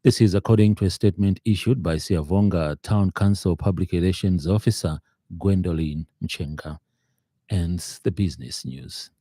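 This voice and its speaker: A man reads scripted radio news in a very dark, low voice. His delivery is formal and measured, with some halting, and the studio sound is dry and close. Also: no echo. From Zambia.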